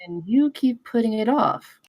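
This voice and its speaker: A woman acting an awkward, anxious young woman in a film script read. Her voice is high and swings widely, and she talks slowly in hesitant, stammering bursts. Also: animated, a little mellow, no echo. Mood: hesitant, puzzled. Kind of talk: screenplay table read.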